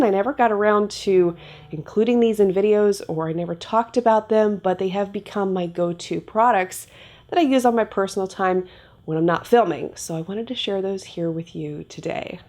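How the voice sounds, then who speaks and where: A woman's voice: mid-range voice, a little mellow. A young woman giving a chatty makeup tutorial, sounding nasal from a cold. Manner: upbeat, chatty product demonstration. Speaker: beauty vlogger. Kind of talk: casual makeup tutorial talk. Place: home filming set, indoors.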